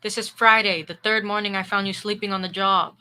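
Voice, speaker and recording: A sharp, forceful voice from a female student actor plays a sarcastic male office boss in an old-time radio comedy. The delivery is brisk, scolding and exasperated, in a medium register. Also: medium pitch, steady tone, clean tone, lightly acted. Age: middle school student.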